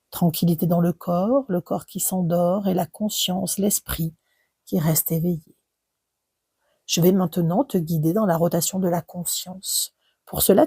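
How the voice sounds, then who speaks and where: A woman leading a guided relaxation in a soft, breathy voice that often nears a whisper, soothing and unhurried, with gentle pauses between short phrases. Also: on the low side, no room sound, clean studio sound. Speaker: yoga nidra relaxation guide. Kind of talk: guided meditation narration.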